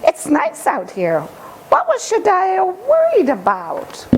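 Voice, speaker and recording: A woman voicing a curious, earnest young boy from a storybook, with an expressive, wondering delivery. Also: very high voice, takes it slowly, voice pushed up, full character voice, rough recording. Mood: relieved, confident. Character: curious, fearful young boy who wanders off. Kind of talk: storybook character voice.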